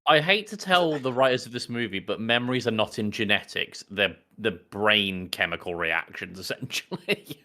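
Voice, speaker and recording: A man's voice: lowish voice, moderate pace, hardly any pauses, sharp tone. A man co-hosting a podcast in casual comedic chat, joking in an incredulous, sweary way. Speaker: podcast co-host. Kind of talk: casual comedic banter about a film.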